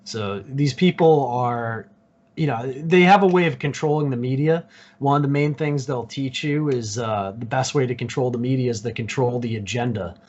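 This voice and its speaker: A man from Massachusetts explaining his views as a podcast guest in a measured, assertive, conversational way. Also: medium pitch, a little mellow. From Cape Cod, Massachusetts. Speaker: podcast guest, YouTuber and researcher. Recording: dull lo-fi audio.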